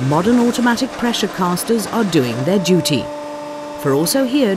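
A narrator reading a scripted factory documentary in a measured, explanatory way. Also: mid-range voice, noisy background. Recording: music bed behind narration. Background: background music.

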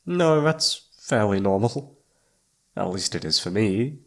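A man's voice: fairly low pitch, animated, takes it slowly, fairly bright tone, full character voice. A man playing a shy, flustered young incubus roommate in an ASMR roleplay, hesitant and awkward, often trailing off. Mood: weary, pensive. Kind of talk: ASMR roleplay audio drama.

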